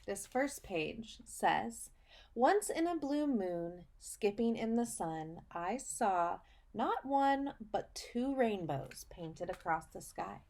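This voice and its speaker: A woman's voice: medium pitch, very animated, a little mellow. Woman leading a children's read-aloud lesson. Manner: explanatory, pausing to question the listener, emphatic on key phrases. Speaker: speech and language teacher reading a story aloud.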